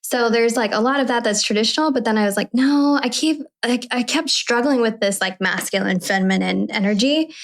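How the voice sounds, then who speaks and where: A woman's voice: fairly high pitch, brisk, few pauses. A woman in her early thirties hosting a podcast, talking candidly and at length, with restarts and the odd swear. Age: 30s. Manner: candid, animated, rambling, with swearing. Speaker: podcast host. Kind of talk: casual podcast conversation.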